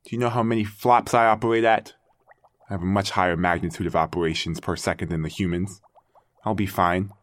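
A man's voice: deep voice, fairly even intonation, brisk, no room sound, close to an everyday voice. A man playing an aging artificial intelligence, a doting creator-parent musing with theatrical wonder in an audio drama monologue. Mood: musing, reassuring. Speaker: narrator and lead character. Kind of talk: dramatic first-person audio fiction monologue.

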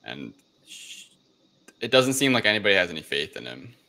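A man's voice: sits low, big pitch swings, a little fast, gravelly, sharp tone. A man hosting a podcast, casually talking through a movie review. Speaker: podcast host.

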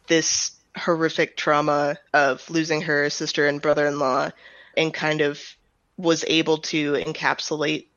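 A woman's voice: low voice, level tone, measured pace, fairly bright tone, no echo, muffled recording. An American woman giving casual, explanatory answers as an interview guest. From United States. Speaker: audio drama writer and director, interview guest.

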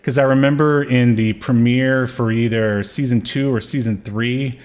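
A man's voice: mid-range voice, fairly even intonation, normal speed, darkish tone. A man co-hosting a podcast, chatting casually about old television in a rambling, playfully argumentative way. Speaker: podcast co-host.

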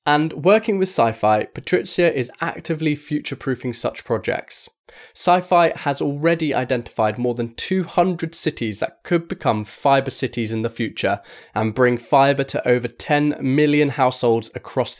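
A man reading a magazine article aloud as scripted narration, in a measured, formal delivery. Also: mid-range voice, animated. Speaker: article reader.

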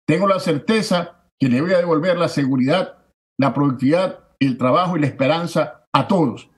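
A man, a political candidate giving forceful, declamatory campaign answers that stack repeated phrases for emphasis. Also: a little high, moderate pace. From Ecuador.

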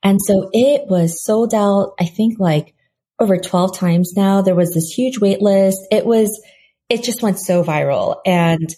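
A woman from South Korea, a skincare company founder, answering interview questions with enthusiastic, animated storytelling. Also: medium pitch, unhurried.

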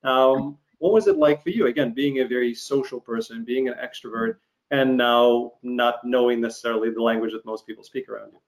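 A man from Ukraine who learned English as a teenager, interviewing in a halting, reflective, conversational way. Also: medium pitch, steady tone, low-quality recording. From Ukraine, former Soviet Union. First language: not English; learned it as a teenager. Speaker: interviewer, host.

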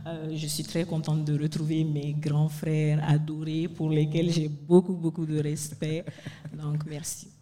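A woman's voice: low-pitched, level tone, unhurried, warm tone. A woman from Mali, speaking conversationally as a guest at a live event. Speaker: panel guest, author. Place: live recording in a university bar. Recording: reverberant room, live event recording.

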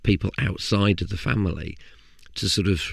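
A man's voice: sits low, gravelly, dry recording, studio quality. A man hosting a podcast, talking conversationally with frequent restarts. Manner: conversational, halting with repeated words. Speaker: podcast host. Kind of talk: casual interview chat.

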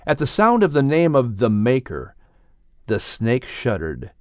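A man's voice: medium pitch, wildly swooping pitch, a little slow, no echo, studio quality. A man narrating a dramatic children's audiobook story in a scripted storytelling style.

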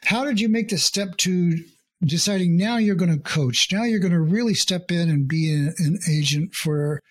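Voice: mid-range voice, moderate pace. An interview host asking questions eagerly, with stumbling restarts and repeated words. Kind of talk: conversational interview.

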